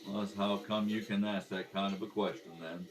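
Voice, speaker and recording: A man playing a defensive, evasive ex-convict fry cook in an amateur radio crime drama. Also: on the low side, level tone, a little fast, lightly acted. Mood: scornful. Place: at a kitchen table. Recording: slightly distant home microphone.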